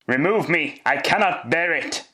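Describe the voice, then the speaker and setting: An old miser in torment , played by a man, begging desperately in the manner of a classic radio play. The voice is raised well above the actor's low narrating register, urgent and pleading. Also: lively intonation, measured pace, sharp tone, echoey room. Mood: frantic. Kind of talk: classic radio drama acting.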